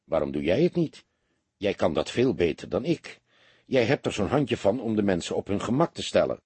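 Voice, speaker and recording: Male narrator as junior detective, earnest, questioning, husky, lively intonation, audiobook dialogue. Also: fairly low pitch, moderate pace, no room sound, heavily acted. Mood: astonished, uneasy. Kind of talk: audiobook character voice.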